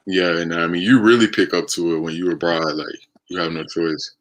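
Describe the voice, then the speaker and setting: An American man with a deep, low voice hosts a live stream. He talks in a casual, conversational way, like someone answering questions from viewers. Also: steady tone, moderate pace. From United States.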